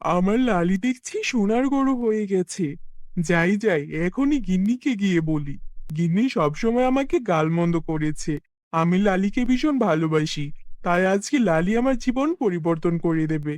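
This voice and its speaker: A man's voice: high-pitched, expressive, measured pace, a little mellow, no room sound. A man voicing a poor, kind farmer who dotes on his cow, in an earnest, exclamatory cartoon fairy-tale style. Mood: excited, proud.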